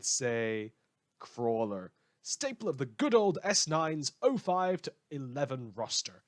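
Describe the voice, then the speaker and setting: A man hosting a scripted fictional radio show, telling it in a measured, wry and theatrical way. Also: medium pitch.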